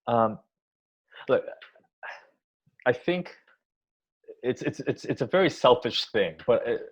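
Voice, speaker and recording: A man, born in Taiwan, giving thoughtful interview answers with many pauses, restarts and ums. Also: medium pitch, expressive, breathy edge. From Taiwan, raised in the US. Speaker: orchestra music director. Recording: dull lo-fi sound.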